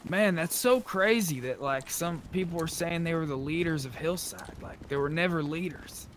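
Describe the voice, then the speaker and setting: A man with a slightly high voice talks conversationally, improvising in character during an online game. There is faint noise and ambience behind him. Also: normal speed. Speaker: role-play gamer. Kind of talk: improvised in-character chat while gaming.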